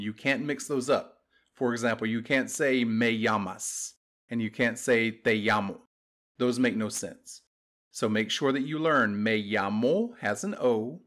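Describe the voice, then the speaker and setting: Slow, patient male language teacher. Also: medium pitch, a bit bright. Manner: slow, deliberate modeling of phrases for learners. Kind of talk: instructional lesson narration.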